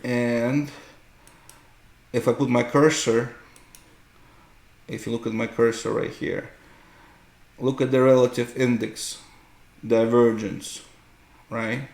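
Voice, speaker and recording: Slow, gravelly man explaining charts. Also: mid-range voice, level tone, darkish tone. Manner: explanatory, often checks with "right?". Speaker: host, market analyst. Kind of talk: unscripted chart tutorial commentary. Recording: roomy, echoing room sound.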